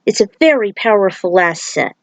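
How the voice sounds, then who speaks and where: A woman voicing an earnest male shaman warrior, a patient mentor who explains things in a measured, serious way. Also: mid-range voice, expressive, dry recording, close to an everyday voice. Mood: explaining.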